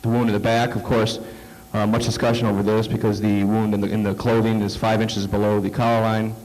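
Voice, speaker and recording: Man, conference presenter with a cold, halting delivery full of fillers, lower voice. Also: flat delivery, a little mellow, low-quality recording. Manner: halting, many fillers and restarts. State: coming down with a cold. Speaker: conference speaker. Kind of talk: slide presentation talk. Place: conference hall.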